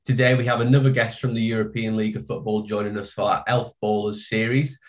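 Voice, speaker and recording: Man, podcast host, fairly low voice, friendly, steady. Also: fast. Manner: friendly, steady introductions and questions. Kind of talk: hosting an interview.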